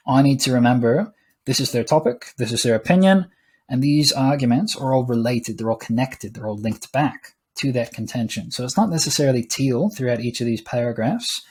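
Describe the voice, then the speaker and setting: A man's voice: medium pitch, darkish tone, breathy edge. A man, a teacher casually explaining an assessment rubric over a screen recording, with small laughs and asides. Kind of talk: screen-recorded lesson walkthrough.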